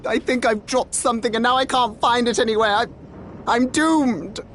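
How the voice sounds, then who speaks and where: An actress playing an excitable, easily flustered townsperson in a fantasy game, exclamatory and melodramatic. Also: female voice, on the high side, normal speed, slight background noise, lightly acted. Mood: panicked, despairing. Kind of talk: fantasy video game voice acting. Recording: prominent game music behind.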